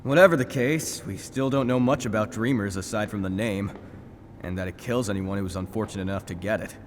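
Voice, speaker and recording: A man's voice: fairly low pitch, fairly quick, lightly acted. An actor playing a jaded male police detective in an audio drama, plain-spoken and matter-of-fact. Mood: informative. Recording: long hall reverb, background ambient noise.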